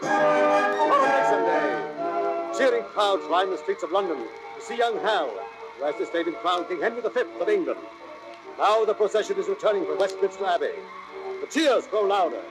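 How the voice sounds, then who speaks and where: A man's voice: high voice, expressive, normal speed, rough recording. A man narrating a radio drama in dramatic, theatrical 1930s announcing style. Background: music.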